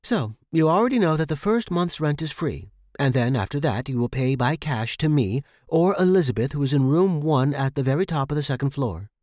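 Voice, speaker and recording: A man voicing a stern, bossy landlady in audio fiction, giving brisk, clipped instructions. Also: fairly high pitch, lively intonation, darkish tone, no room sound, clean studio sound, pitched above the performer's own voice, close to an everyday voice. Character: stern, bossy woman landlady with strict house rules. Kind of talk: audio fiction character reading.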